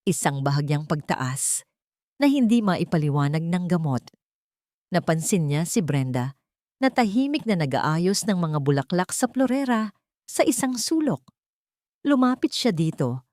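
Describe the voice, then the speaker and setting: Lady narrating a drama story, lots of pitch swing, dry sound. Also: a little slow, long pauses, no room sound. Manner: expressive storytelling. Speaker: audiobook narrator.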